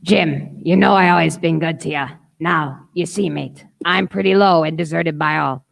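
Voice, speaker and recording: A woman playing a gravelly old sea captain. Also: low-pitched, moderate pace. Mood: pleading. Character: gruff, desperate old sea captain, male, rum-craving. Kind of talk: radio play acting.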